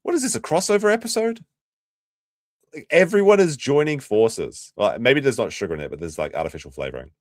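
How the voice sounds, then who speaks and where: A man's voice: mid-range voice, lively intonation, brisk, no echo, clean studio sound. Sarcastic Australian guy streaming. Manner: chatty, sarcastic, laughs a lot. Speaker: streamer reacting to clips. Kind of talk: casual livestream commentary.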